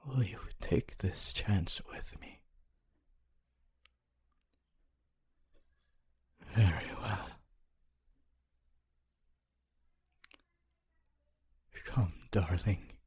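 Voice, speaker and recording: Man playing a slow, breathy, lonely male ghost. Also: sits low, full character voice. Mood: tender, longing. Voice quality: breathy, hushed. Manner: slow, halting, wistful, intimate. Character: honorable lonely male ghost, former teacher, tender and regretful. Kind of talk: ASMR roleplay monologue.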